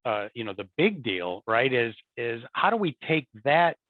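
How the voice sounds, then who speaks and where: A man's voice: medium pitch, very animated, moderate pace, dry recording. A man speaking as an interview guest in a conversational way. He repeats words and often adds "you know" and "right?". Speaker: guest, support and field services executive. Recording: tinny remote call audio, dry.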